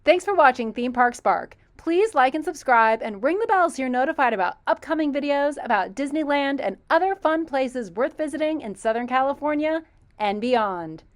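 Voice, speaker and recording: A woman's voice: sits high, expressive, moderate pace. A woman reading a scripted outro as host of a video channel.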